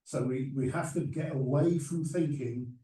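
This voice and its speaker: A man in his sixties speaking as a panelist, measured and persuasive. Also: mid-range voice, mellow. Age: 60s. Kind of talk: panel discussion. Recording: roomy hall, mic at a distance.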